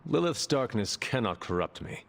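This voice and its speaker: An actor playing a solemn, self-assured warrior hero in a video game, speaking in a formal, terse, deadpan way. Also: male voice, mid-range voice, normal speed, gravelly. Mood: resolute. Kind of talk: video game cutscene dialogue.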